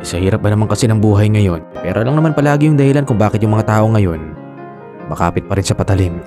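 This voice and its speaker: A man's voice: lowish voice, lively intonation, fast, over music, lightly acted. A man playing an easygoing, pragmatic young boyfriend in a radio drama, casual and matter-of-fact. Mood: casual, reassuring.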